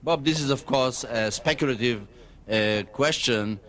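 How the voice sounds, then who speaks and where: A man answering radio interview questions as an official spokesman, in a measured, diplomatic, halting way. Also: mid-range voice, fairly bright tone. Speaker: embassy spokesman, interview guest.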